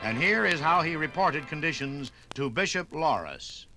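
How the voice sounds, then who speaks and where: Male documentary narrator: vintage radio style, lively intonation, oratorical, music behind. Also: fairly high pitch, moderate pace. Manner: dramatic, oratorical phrasing.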